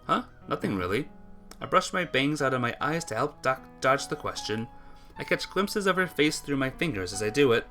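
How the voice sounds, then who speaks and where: A man with a mid-pitched voice reads a visual novel aloud in an earnest, expressive storytelling style. He voices the dialogue as he goes, and faint game music plays behind him. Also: moderate pace. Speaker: let's play narrator reading a visual novel aloud. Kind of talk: expressive read-aloud narration.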